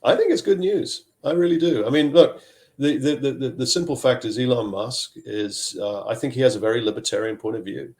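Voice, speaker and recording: A man's voice: medium pitch, a little fast, a little mellow. A middle-aged man from Zambia, a tech executive, talking on a video call interview and often repeating words as he searches for phrasing. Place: home office.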